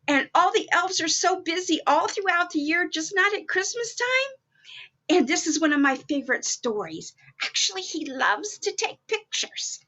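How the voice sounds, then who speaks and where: A woman's voice: high-pitched, pushed and bright, muffled recording, exaggerated voice. A woman playing a cheerful, motherly Mrs Claus, reading a story aloud to young children and putting questions to them. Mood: welcoming, excited. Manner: exclaiming, addressing young children directly with questions.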